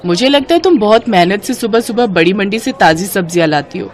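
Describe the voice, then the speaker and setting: A woman's voice: mid-range voice, expressive, brisk, a faint crowd, close to an everyday voice. A woman voicing a friendly, appreciative young married woman in a moral story, speaking with easy familiarity. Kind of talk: Hindi moral story character dialogue.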